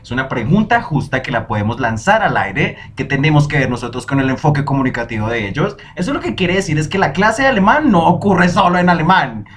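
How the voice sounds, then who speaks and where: A young man hosting a solo podcast, talking in a lively, emphatic way and dropping in foreign phrases. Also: a little high, a little fast, hardly any pauses, a little hiss or hum. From Colombia. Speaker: podcast host.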